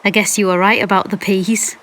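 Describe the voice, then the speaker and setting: An actress playing a grieving, self-deprecating stranger in an audio drama. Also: female voice, medium pitch, level tone, a little fast, fairly bright tone, close to an everyday voice. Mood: subdued, apologetic.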